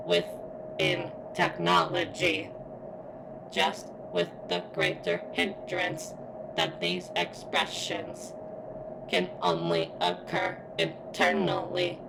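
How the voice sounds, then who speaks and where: A woman playing a sentient female robot in constant pain for an ASMR roleplay, speaking in a strained, halting, formal and deliberate way. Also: extremely low pitch, noisy background, heavily acted. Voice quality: strained, creaky. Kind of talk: ASMR roleplay, scripted monologue.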